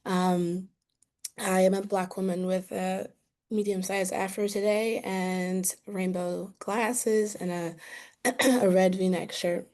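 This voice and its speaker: A woman with a slightly raspy voice speaking on a video call in a friendly, halting way, with ums. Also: medium pitch, little pitch movement, a little mellow. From New York, USA. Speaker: founder of an advocacy group. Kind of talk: town hall presentation on video call.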